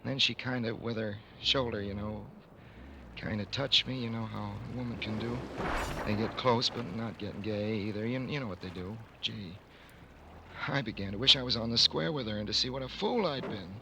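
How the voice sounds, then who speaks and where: A man's voice: fairly low pitch, normal speed, vocal fry, sharp tone. An actor playing a smitten, naive young American racetrack hand, confiding his story in folksy, rambling speech in old radio drama style. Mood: confiding, rueful. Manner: colloquial, folksy, rambling first-person confiding. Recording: noisy old radio recording, vehicle noise behind.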